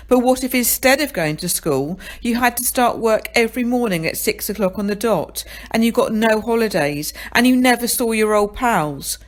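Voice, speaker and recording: A woman reading a children's story aloud, dramatic and addressing the listener directly as narrator. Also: mid-range voice. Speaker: story reader.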